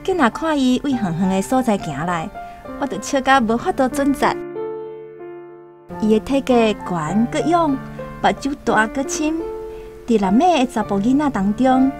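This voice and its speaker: A high, youthful female voice with a Taiwanese accent plays a lovestruck island maiden. She tells her feelings tenderly and playfully in radio-drama style, over music. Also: lively intonation, normal speed. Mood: smitten, happy.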